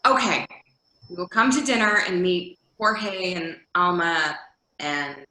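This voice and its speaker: A woman's voice: on the low side, takes it slowly, sharp tone. A woman playing a middle-aged, world-weary caseworker who is guarded but caring, in a readers theater scene. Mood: guarded, affectionate. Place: indoor room on a video call. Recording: echoey room on video call.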